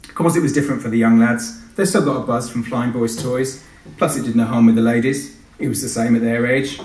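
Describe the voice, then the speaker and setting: A man's voice: mid-range voice, steady tone, brisk, darkish tone. A man, an author giving a live reading from his novel. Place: live event in a large venue. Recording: echoey hall, distant microphone.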